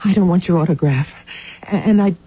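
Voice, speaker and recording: An actress in a radio drama playing a desperate, insistent wife with a husky voice, pleading for help. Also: female voice, fairly low pitch, brisk, no echo. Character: desperate pleading wife seeking her missing husband. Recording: muffled narrowband old radio recording.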